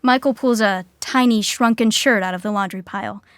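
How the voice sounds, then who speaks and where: A woman's voice: medium pitch, a little fast, no room sound, clean studio sound. A woman reading scripted comedic narration for a sitcom. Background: music.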